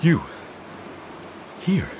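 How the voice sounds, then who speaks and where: Guarded Victorian bridegroom, tender, slow and measured, mid-pitched, radio drama with noisy backdrop. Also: male voice. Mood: tense, curt. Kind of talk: period radio drama acting.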